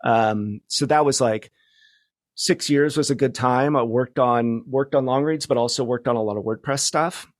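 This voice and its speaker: A man speaking as an interview guest in casual conversation, reflective and halting, often restarting his thoughts. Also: medium pitch, dry recording, clean studio sound. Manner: halting, reflective, many false starts. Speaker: podcast guest, media founder and journalist.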